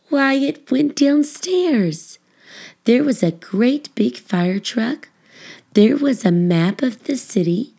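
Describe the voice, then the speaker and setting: A woman narrating a children's book, phrasing it expressively and deliberately for young listeners. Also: medium pitch, gravelly, dark tone. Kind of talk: scripted storybook narration.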